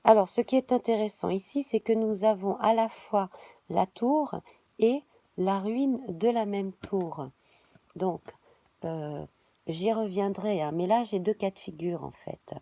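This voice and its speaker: A woman, reading a monthly tarot spread while she has a cold, halting and filling pauses. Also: mid-range voice, dark tone, no echo. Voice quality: hesitant, many fillers and restarts. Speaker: tarot reader.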